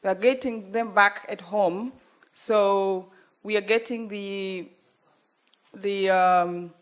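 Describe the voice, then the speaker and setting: A young woman with a Ugandan accent, speaking earnestly at a panel, pausing often with fillers as she gathers her words. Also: mid-range voice, fairly even intonation, clear, resonant tone. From northern Uganda. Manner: earnest, halting, many fillers. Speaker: journalist panelist. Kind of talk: panel talk.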